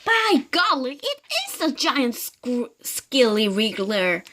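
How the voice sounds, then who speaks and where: A woman voicing Mr Twit, a mean, sneering old man, in a raised, lively voice. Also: a little high, slow pace, pitched above the performer's own voice, exaggerated voice. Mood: blustering.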